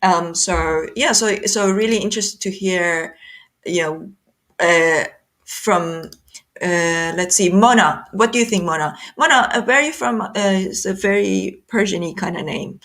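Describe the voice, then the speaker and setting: A woman's voice: medium pitch, a little slow, a bit bright. A woman from Iran hosting a group video call, talking loosely with many fillers and restarts. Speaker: host. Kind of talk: casual video call discussion.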